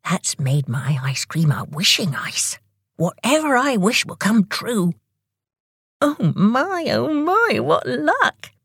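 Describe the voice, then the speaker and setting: A woman voicing a bossy, sulky, quick-tempered young brother in a children's storybook. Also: fairly high pitch, sing-song, breathy edge, no room sound, studio quality, pitched above the performer's own voice, exaggerated voice. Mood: excited.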